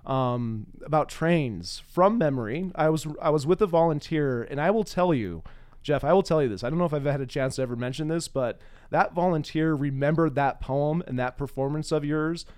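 A man's voice: fairly high pitch, normal speed, darkish tone, smooth. A man hosting a casual, chatty interview, often echoing back what the other person says. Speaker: interviewer, podcast host.

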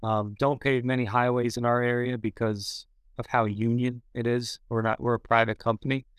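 A man's voice: mid-range voice, flat delivery, moderate pace, clean tone, no echo, clean studio sound. A man of about thirty talking casually as a podcast guest, laid-back and matter-of-fact with many fillers. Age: 30s. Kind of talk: casual interview talk.

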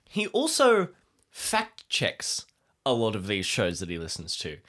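Animated man in casual podcast banter. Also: fairly high pitch. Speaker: podcast co-host and player.

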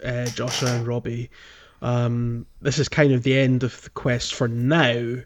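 Guy cohosting a game podcast, average pitch voice, recapping stuff casually, pauses a lot mid sentence. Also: level tone. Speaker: podcast host. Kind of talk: casual gaming podcast chat, recapping a playthrough.

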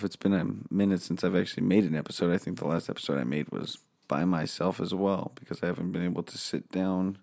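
A man hosting a casual solo podcast from his home office, rambling and swearing freely, with a hoarse voice. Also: sits low, warm tone. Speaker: podcast host.

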